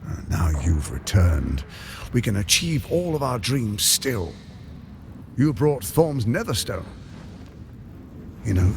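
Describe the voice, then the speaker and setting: Suave, pompous tyrant lord, animated, gravelly. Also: male voice, mid-range voice, slight background noise. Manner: silky, pompous, measured and persuasive. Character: suave, scheming tyrant lord courting an old ally. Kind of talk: theatrical video game cutscene acting.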